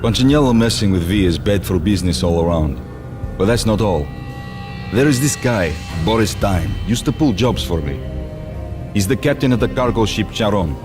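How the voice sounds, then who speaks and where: Game role: male actor as playful mob gunrunner, low register, silky salesman patter, theatrical. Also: expressive, moderate pace, music in the background, lightly acted. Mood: businesslike, scheming. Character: jovial, smooth-talking male gangster arms dealer.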